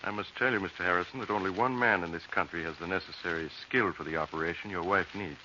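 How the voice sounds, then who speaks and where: An actor playing a grave, tactful doctor in a 1940s radio drama, measured and professional, on a dull, noisy recording. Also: male voice, low voice, sharp tone.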